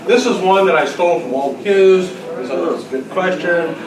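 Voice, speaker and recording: A man's voice: sits high, normal speed, a crowd in the background. A man acting as quiz master, reading trivia questions to a group and bantering in a jokey, teasing way. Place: large indoor room at a club luncheon. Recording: roomy, noisy hall, far from mic. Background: room noise from a gathered group.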